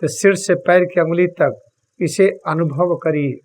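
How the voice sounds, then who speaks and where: A man with an Indian accent leads a guided meditation, speaking solemnly and deliberately in short phrases with long pauses. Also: on the high side, a little mellow. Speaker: spiritual teacher leading guided meditation.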